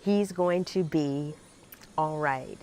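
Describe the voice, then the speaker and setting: A woman's voice: low voice, slow pace, a little mellow, slight background noise. A woman anchoring live breaking news coverage, talking conversationally with frequent fillers and restarts.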